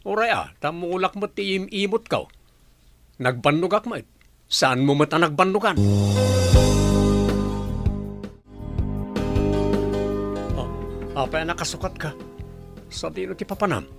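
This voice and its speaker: An actor playing a tight-fisted, argumentative husband and dutiful son in an Ilocano radio drama. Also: male voice, high voice, expressive, normal speed, creaky, long pauses, over loud music. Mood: annoyed.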